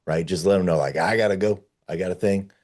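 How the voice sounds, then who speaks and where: A man with a very low, mellow voice coaches someone through a casual conversation. He sounds dark-toned and relaxed, and phrases his advice informally and plainly. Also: fast talker. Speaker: discussion leader giving advice.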